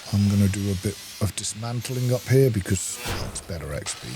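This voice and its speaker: A man with a fairly low voice talks through a video game as he plays. He sounds casual and unhurried, thinking aloud as he goes, with music clearly playing behind him. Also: animated. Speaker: gaming video host. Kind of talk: casual game playthrough commentary.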